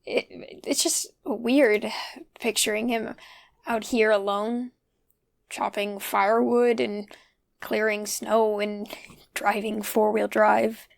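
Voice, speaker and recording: An actress playing a wry, pensive young character talking alone in an audio drama, with a conversational, musing manner. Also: female voice, mid-range voice, fairly even intonation, slow, gravelly. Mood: wry, amused. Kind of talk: audio drama monologue, casual reflective recording.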